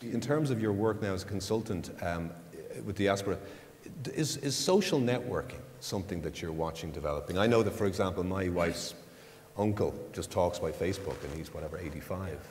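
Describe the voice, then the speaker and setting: A man's voice: sits low, brisk, darkish tone. Man asking questions in a reverberant hall. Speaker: interviewer. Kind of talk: panel interview questions. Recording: reverberant hall with faint ambience.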